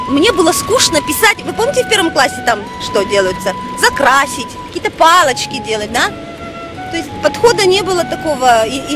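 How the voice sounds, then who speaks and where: A woman from Russia with a high voice, recalling her childhood in an expressive, nostalgic way with emphatic exclamations. She speaks outdoors among residential buildings while music plays clearly underneath. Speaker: interviewee, actress. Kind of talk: reminiscing documentary interview.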